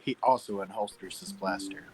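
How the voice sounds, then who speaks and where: A man's voice: sits low, moderate pace, a little mellow, a little hiss or hum, poor audio. A man serving as narrator, reading screenplay stage directions at a table read.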